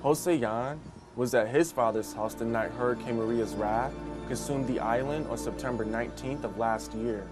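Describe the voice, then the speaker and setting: A male reporter delivers scripted news narration in a measured, dramatic way. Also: mid-range voice. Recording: music bed underneath.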